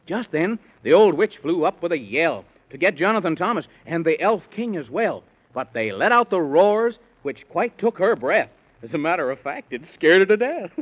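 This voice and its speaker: A man narrating a rhyming children's tale in a theatrical, sing-song manner, in 1930s radio style. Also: a little high. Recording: muffled 1930s radio recording.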